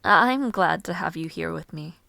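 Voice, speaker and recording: A woman voicing a gentle young noblewoman who looks after a bedridden stranger, speaking formally and politely, with shy laughs. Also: on the low side, lively intonation, measured pace, vocal fry, dry recording, heavily acted. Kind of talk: audio roleplay addressed to the listener.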